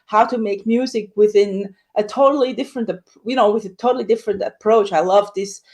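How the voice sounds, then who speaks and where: A woman, a soprano singer, telling her story in a casual interview with lively acted-out quotes. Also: a little fast. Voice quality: high dramatic coloratura soprano voice.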